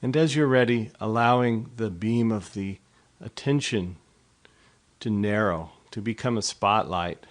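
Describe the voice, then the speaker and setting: A man leading a guided body scan meditation in a slow, soft, unhurried way. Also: fairly low pitch.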